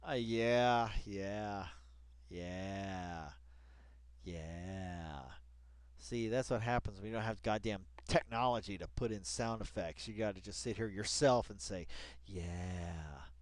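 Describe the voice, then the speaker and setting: A man's voice: lowish voice, slow, no echo. Slangy, emphatic man commenting casually. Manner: slangy, colloquial, addressing absent people directly; emphatic, repeats phrases for effect. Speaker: online video host. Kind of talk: casual read-along commentary on a web article.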